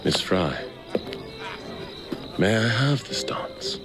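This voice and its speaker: An actor playing a suave, menacing gentleman villain in a video game, with a measured, courtly delivery. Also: lowish voice, very animated, heavily acted. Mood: silky menace. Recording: loud orchestral music behind. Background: music.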